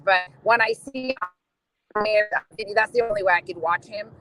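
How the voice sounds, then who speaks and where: A woman's voice: medium pitch, normal speed, pushed and bright, rough recording. Woman on a group call, kinda hesitant, then cracking jokes. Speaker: call-in guest. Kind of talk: casual chat.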